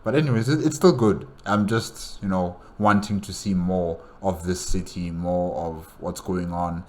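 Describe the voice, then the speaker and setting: A man with a low voice hosts a reaction video. He talks casually and hesitantly, circling back over phrases as he rambles through his thoughts. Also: unhurried, darkish tone.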